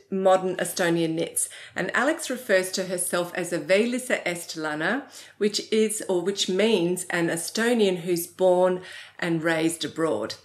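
A middle-aged woman hosting a knitting show from a living-room sofa, chatty and cheerful, laughing often. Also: mid-range voice. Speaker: host.

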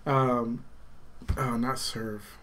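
A man's voice: mid-range voice, fairly even intonation, extremely slow, a bit bright, a little hiss or hum. A young man chatting casually in a reaction video. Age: young adult. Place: small bedroom, indoors.

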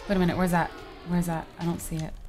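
Young woman, twenties, casual gameplay chatter, excitable, quick exclamations, home desk mic. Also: lowish voice, faint music behind. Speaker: co-host of a Minecraft series. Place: home room, indoors. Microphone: webcam and desk mic.

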